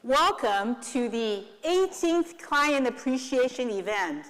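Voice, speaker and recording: A woman's voice: on the high side, a bit bright. A woman from Taiwan, an attorney hosting her firm's client event, giving a playful stage speech and getting the audience to repeat phrases after her. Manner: playful, leads the audience in call and response. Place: large event hall. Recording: long reverb of a large hall.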